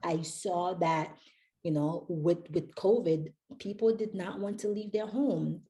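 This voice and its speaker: A woman's voice: fairly low pitch, fairly even intonation, slow, warm tone. A young woman from Haiti, a company founder, chatting as a podcast guest and rambling with many fillers and restarts. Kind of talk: casual interview chat.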